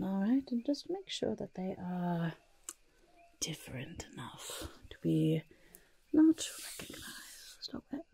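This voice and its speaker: Woman playing a creaky, whispering, mischievous goblin. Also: lowish voice, full character voice. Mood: fussy, approving. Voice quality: breathy whisper mixed with creaky soft voice. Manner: refers to self in third person, fussy, repeats words. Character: quirky, mischievous goblin master of disguise, friendly. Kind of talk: soft-spoken ASMR roleplay, often whispering. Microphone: close ASMR microphone. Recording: close-up with audible hiss and clicks.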